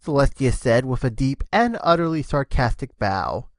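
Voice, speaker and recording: A man's voice: medium pitch, big pitch swings, moderate pace, no room sound, clean studio sound. A man giving scripted storybook narration of a cartoon fan fiction.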